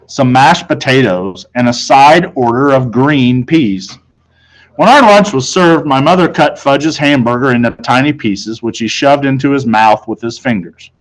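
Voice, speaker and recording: A man's voice: medium pitch, poor audio. A man reading a children's novel aloud as a teacher would, narrating in the first person.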